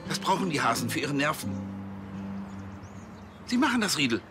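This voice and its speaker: An actor playing a bossy, indignant police chief in a crime comedy, fussy and exasperated. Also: male voice, fairly high pitch, very animated, moderate pace. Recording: music behind the voice.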